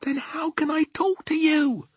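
A man's voice: a little high, huge pitch swings, normal speed, a bit bright, no echo, pitched above the performer's own voice, full character voice. A man voicing a small young storybook girl, plucky and polite, with proper phrasing. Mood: whispering. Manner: polite, proper phrasing, often breathy whispering.

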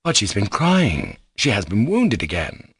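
Guy doing a gentle young man in a storybook, sorry and earnest, plain delivery, dry sound. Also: on the low side, wildly swooping pitch, a little slow, slightly rough, no room sound, full character voice. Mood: remorseful.

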